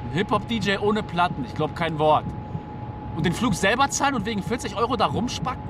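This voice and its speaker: Radio drama casting: stubborn, sarcastic young driver, high-pitched and animated, music in the car. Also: male voice, fairly quick, distant mic, background noise. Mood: suspicious, sardonic. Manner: slangy, sarcastic, bargaining. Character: sardonic, haggling young driver, stubborn and shrewd. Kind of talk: naturalistic radio drama dialogue. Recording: inside a car, off-mic, music behind.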